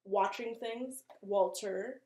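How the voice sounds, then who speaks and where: A woman's voice: mid-range voice, takes it slowly, darkish tone. A woman giving casual video commentary as a host, chatty, rambling and self-deprecating. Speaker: host of a horror video channel. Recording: roomy, echoing room sound.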